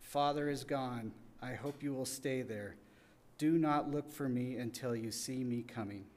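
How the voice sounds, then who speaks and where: A man's voice: mid-range voice, measured pace, darkish tone. A middle-aged man reading an old letter aloud into a podium microphone in an indoor gallery. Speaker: readers theater reader. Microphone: gooseneck podium microphone.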